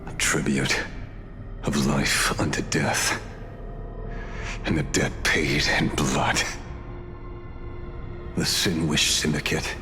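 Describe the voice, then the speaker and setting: A man playing a grim, world-weary, quietly menacing detective in a video game. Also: rumbling low, flat delivery, slow pace, pauses often, music in the background. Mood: solemn, grim. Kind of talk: dramatic video game voice acting.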